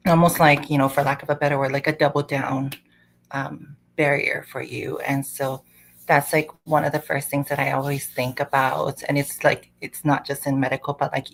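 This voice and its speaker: Low gravelly woman. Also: a little hiss or hum, poor audio. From Samoa. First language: Samoan. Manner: thoughtful, rambling, many "like" fillers. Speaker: panelist. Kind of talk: panel discussion.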